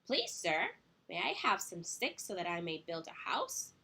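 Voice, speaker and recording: A woman's voice: fairly low pitch, huge pitch swings, measured pace, creaky, bright, forceful tone, slightly rough, exaggerated voice. A woman voicing a polite, eager young little pig in a children's storybook, with a pleading sing-song manner.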